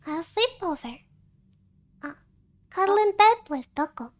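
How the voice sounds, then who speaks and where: A woman voicing a cute, affectionate anime cat girl in soft livestream chat, peppering her speech with Japanese interjections. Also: extremely high pitch, expressive, measured pace. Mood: cutesy, affectionate. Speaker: VTuber streamer hosting a livestream.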